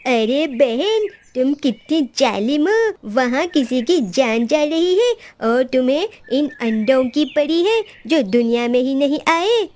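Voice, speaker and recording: A woman voicing a sly male cartoon crow who pleads in an exaggerated, theatrically drawn-out way. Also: sits high, animated, a little mellow. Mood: wheedling, pleading. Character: sly male crow, wheedling con artist. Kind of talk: Hindi children's cartoon voice acting.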